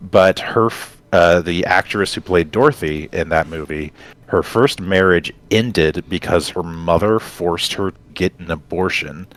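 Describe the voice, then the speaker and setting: A man with a low voice talks casually as a podcast co-host. He explains at length and wanders off into side stories in a conversational way. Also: measured pace, fairly bright tone. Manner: rambling, explanatory, digressive storytelling.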